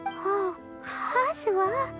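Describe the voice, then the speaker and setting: A woman voicing a small, childlike, curious fairy companion in animated game dialogue. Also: very high voice, drawn-out words, music in the background, heavily acted. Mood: touched.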